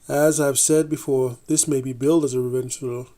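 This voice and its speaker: A man reading a scripted TV review in a measured, deliberate way, with the odd slip and restart. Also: mid-range voice, fairly even intonation, mellow. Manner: measured, deliberate delivery with occasional slips and restarts. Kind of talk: scripted review read aloud.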